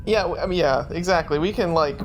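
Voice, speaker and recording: A man with a fairly high voice banters as a player at a tabletop game. He phrases things in a wordy, somewhat theatrical way, with faint music underneath. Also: fairly quick. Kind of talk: casual improvised role-play banter.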